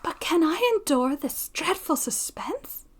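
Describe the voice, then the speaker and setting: A woman reading a storybook voices an impassioned, gentle young woman with breathy, anxious, formal period speech. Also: high voice, lively intonation, a little slow, pitched above the performer's own voice. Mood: anguished.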